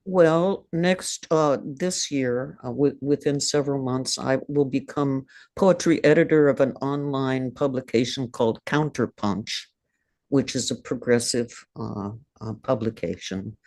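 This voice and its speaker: An older woman reading her own poem over a video call, soft and halting. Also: very deep voice, dry recording. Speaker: poet reading her work. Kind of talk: poetry reading and casual chat.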